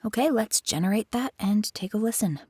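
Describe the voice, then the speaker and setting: A woman's voice: medium pitch, animated, brisk, a little mellow, breathy edge, no room sound, clean studio sound. An American woman hosting a chatty, curious tutorial from her bedroom. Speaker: tutorial host. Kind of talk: casual explainer. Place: carpeted bedroom. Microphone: headset mic.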